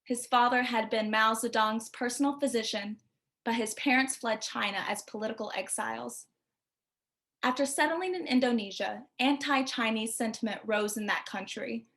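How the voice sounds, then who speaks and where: A woman's voice: fairly high pitch, moderate pace, fairly bright tone. A woman reading scripted narration as the host of a poetry feature.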